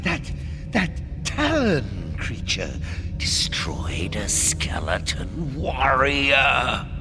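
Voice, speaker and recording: An actor playing an excitable, easily enraged skeleton warlord in a cartoon, with theatrical, shouted outbursts. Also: male voice, medium pitch, extremely slow, over music, full character voice. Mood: outraged. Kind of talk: cartoon villain acting.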